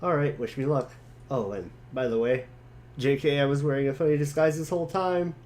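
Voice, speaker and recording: A man playing an excitable, goofy, teasing boy in a dramatic webcomic reading, with a cheeky, animated delivery. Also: medium pitch, fairly quick, pitched above the performer's own voice, natural voice. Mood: cheeky, defensive.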